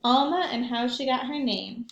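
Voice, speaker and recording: Higher-voiced woman reading aloud, echoey room. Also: moderate pace, lo-fi sound. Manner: explains and asks children questions. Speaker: school librarian reading aloud. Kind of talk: read-aloud for young children.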